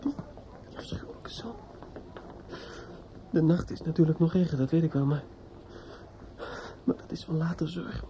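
An actor playing a despairing young man in a radio drama monologue, halting, stammering and repeating himself in fear and grief. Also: a little high, vocal fry, mellow. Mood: anguished, bewildered. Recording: dull, noisy old radio recording.

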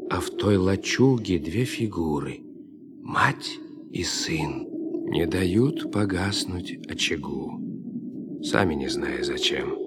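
A man giving a solemn, poetic narration in a radio drama. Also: low-pitched. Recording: loud music over the voice.

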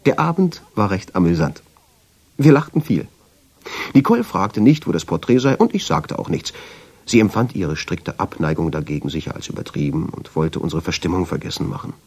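Radio drama lead: uneasy, haunted narrator, deep and husky, brooding, with a widely moving melody. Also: male voice, sing-song, brisk, darkish tone, full character voice. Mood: reflective, uneasy. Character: haunted, uneasy man recounting his obsession with a portrait. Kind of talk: radio drama first-person narration and dialogue.

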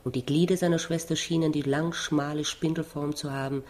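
A woman's voice: sits low, fairly even intonation, a little fast, darkish tone. Woman reading literary prose aloud. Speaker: reader.

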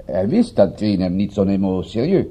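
French police inspector in a radio play, low dark voice, polite, halting, thick with french accent. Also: male voice, sing-song, slightly rough, full character voice. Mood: dawning amusement. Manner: hesitant, formal, searching for words. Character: courteous French police inspector speaking halting, inverted foreign sentences. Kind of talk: radio drama acting.

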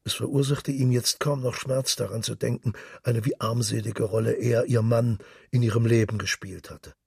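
A man narrating a literary story for radio in a measured, solemn manner. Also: medium pitch, steady tone, gravelly, a little mellow, slightly rough, no room sound, clean studio sound.

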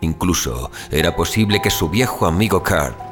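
A man's voice: low-pitched, expressive, moderate pace, music in the background. A man narrating a scripted story in a dramatic audio fiction style.

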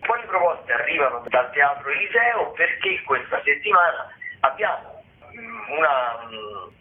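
A man's voice: a little high, very animated, unhurried. A man presents arts listings as a radio host in a semi-improvised, hesitant way, searching for words mid-phrase. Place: radio broadcast. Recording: tinny, narrow phone-like radio sound.

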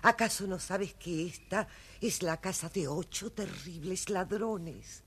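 A woman's voice: on the low side, takes it slowly, husky. An actress playing a worried, motherly cottage dweller in a children's audio story. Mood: worried, warning. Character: worried, motherly inhabitant of robbers' lonely house.

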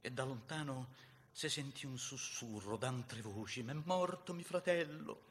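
An actor playing a grieving Garibaldian veteran, reciting dramatic verse with a Roman accent in an impassioned way that swings between hushed and loud. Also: male voice, mid-range voice, measured pace, long reverb tail, a little hiss or hum, lightly acted. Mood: hushed, sorrowful, then anguished, pleading. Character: grieving Garibaldian veteran recounting a bloody battle. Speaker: actor reciting a dialect poem.

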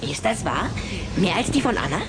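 Eine Frau spricht in einem Kinderhörspiel einen frechen, herrischen kleinen Vampirjungen, der gern neckt und prahlt. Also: female voice, medium pitch, sing-song, brisk, noisy background. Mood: boastful, coaxing. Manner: teasing, commanding, exclamatory. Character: cheeky, bossy little boy vampire, teasing and boastful. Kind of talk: German radio play acting for children.

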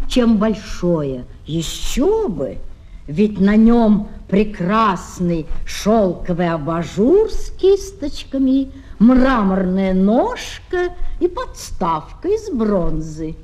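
A woman narrating scripted fairy tales for children in an expressive storybook manner. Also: a little high. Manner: expressive, unhurried storybook delivery. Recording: vintage children's flexi-disc with music. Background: music.

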